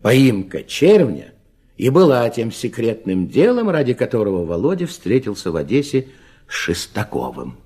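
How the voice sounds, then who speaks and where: Mellow, husky male storyteller. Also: mid-range voice, wildly swooping pitch, moderate pace. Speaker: narrator. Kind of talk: scripted literary narration.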